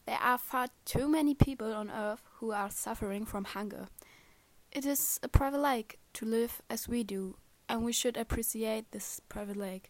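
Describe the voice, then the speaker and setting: A woman's voice: on the high side, moderate pace, no room sound. Earnest young woman, dry sound. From Germany. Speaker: podcast host. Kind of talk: reading prepared remarks.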